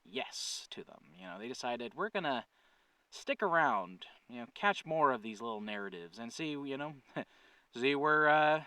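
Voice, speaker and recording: Male channel host, smooth clear voice at medium pitch, earnest and conversational, slightly off the mic. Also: expressive, normal speed, bright, forceful tone, no room sound, distant mic. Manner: earnest, conversational, thinking aloud with self-corrections. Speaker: channel creator and host, writer-producer. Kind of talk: casual update talk to viewers.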